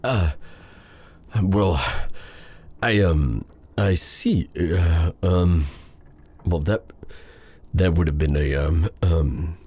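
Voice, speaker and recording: A man playing a proud but tender dark sorcerer in an intimate ASMR roleplay drama, speaking with halting, theatrical courtliness in a breathy, gravelly voice. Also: sits low, exaggerated voice. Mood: flustered.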